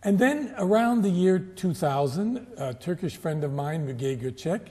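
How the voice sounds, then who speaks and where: Older man, mellow storytelling voice. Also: high-pitched, expressive, long reverb tail. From United States. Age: 70s. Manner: measured, storytelling, occasional self-correcting restarts. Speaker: guest historian. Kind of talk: relaxed studio interview. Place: TV studio. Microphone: lapel.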